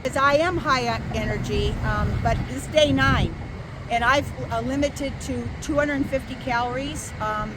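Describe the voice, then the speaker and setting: High-voiced older woman, emphatic, by traffic. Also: moderate pace. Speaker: activist, fasting protester. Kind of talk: street interview, impassioned talk. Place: outdoors at a city street protest. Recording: clear street traffic noise.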